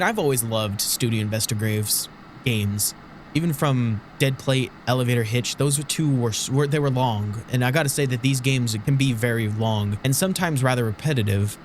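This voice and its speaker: A man's voice: mid-range voice, slight background noise. A man giving casual, reflective, rambling commentary as he hosts a video game playthrough. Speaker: gaming video host.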